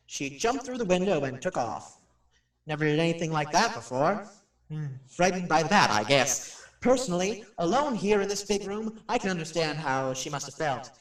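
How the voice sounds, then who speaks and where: Radio play casting: nervy, folksy showman, highish animated voice, talking straight to listeners, roomy acoustic. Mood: uneasy, confiding. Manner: folksy, addressing listeners directly. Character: jumpy, chatty radio showman touring a haunted house. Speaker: actor playing a radio personality. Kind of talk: radio drama acting. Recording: roomy, slightly distant microphone.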